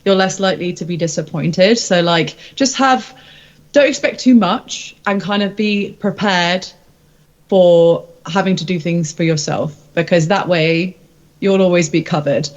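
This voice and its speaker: A woman's voice: on the low side, a little slow, lo-fi sound. A woman singer-songwriter chatting casually in an interview, candid and hesitant as she thinks aloud.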